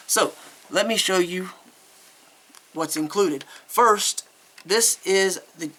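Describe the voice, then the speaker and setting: Bright, higher-voiced man reviewing casually. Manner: chatty, rambling, candid. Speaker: product reviewer. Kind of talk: casual unscripted product review.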